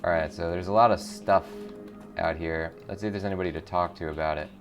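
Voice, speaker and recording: Low-voiced man hosting a game playthrough. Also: fairly even intonation, fast talker. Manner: enthusiastic, informal. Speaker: gaming show host. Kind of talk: casual game playthrough commentary with read-aloud text. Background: faint music.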